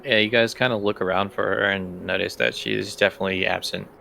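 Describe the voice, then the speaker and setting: A man's voice: lowish voice, steady tone, fairly quick, vocal fry, sharp tone, slight background noise. A man acting as game master, improvising narration for a tabletop role-playing game.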